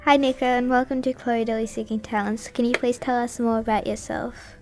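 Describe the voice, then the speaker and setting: Female radio presenter, fairly high voice, careful scripted delivery of intros and interview questions, light music underneath. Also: flat delivery, brisk, darkish tone. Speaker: radio show host.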